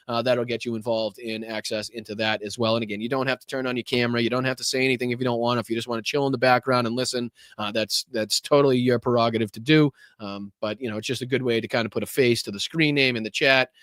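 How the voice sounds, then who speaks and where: Brief: male livestream host, loose and profane, smooth voice, dry close mic. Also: medium pitch, steady tone, quick pace, hardly any pauses, a bit bright, no room sound. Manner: rambling, profane, many fillers. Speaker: podcast host. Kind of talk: casual livestream political commentary.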